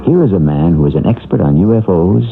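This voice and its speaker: A man hosting a radio mystery show, delivering scripted introductions in a measured, theatrical way. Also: low voice, lively intonation, creaky, music in the background. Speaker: radio drama host. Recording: tinny old radio broadcast.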